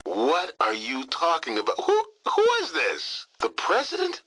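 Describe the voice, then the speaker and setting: An actor playing an irritable, foul-mouthed military communications operator in a video game, snide and wisecracking. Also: male voice, fairly high pitch, wildly swooping pitch, a little slow, sharp tone, slightly rough, heavily acted, low-quality recording. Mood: irritated, threatening.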